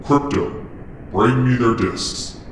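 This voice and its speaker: A man voicing a cold, imperious computer program that speaks in formal, measured commands. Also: mid-range voice, natural voice. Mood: cold, commanding. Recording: roomy, distant, with faint noise.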